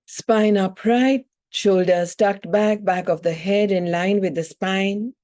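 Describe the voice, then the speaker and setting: A woman yoga teacher leading a guided meditation in soothing, measured phrases with long pauses between them. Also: medium pitch, dark tone.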